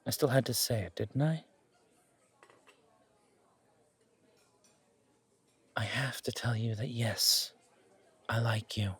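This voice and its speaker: A man playing an earnest, protective, wry mafia boss on a first date in a romantic audio roleplay, speaking in a measured, intimate way. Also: medium pitch, slightly rough. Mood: earnest, tender.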